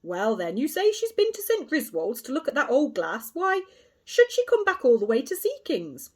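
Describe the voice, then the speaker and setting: A woman's voice: high-pitched, huge pitch swings, normal speed, voice pushed up. A woman voicing a blustering, self-assured male police inspector who talks down in a pompous, patronizing way. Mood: lecturing.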